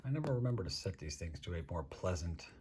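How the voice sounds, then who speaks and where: An American man from Maryland with a husky voice, casually reviewing products as host in a dry, unhurried manner. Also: sits low, sing-song, a little mellow. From Maryland, United States. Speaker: host of a watch review show.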